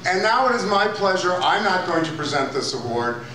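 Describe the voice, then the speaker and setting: A man presenting awards at a film festival, speaking slowly and deliberately in a list of phrases. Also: sits high, big pitch swings, a loud crowd, very noisy. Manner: slow, deliberate, listing phrases. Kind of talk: public announcement at an event. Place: large lecture hall. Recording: echoey hall taped from far off.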